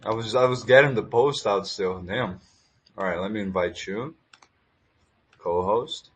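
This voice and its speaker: A man's voice: fairly low pitch, a little slow, a bit bright, slightly rough. A man hosting a casual live audio chat, laid-back and joking with slang. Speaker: host.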